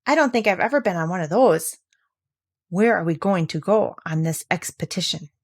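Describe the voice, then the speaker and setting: A woman's voice: lowish voice, animated, normal speed, husky. A woman voicing a cheerful, simple-minded male teddy bear who talks in an eager, singsong way.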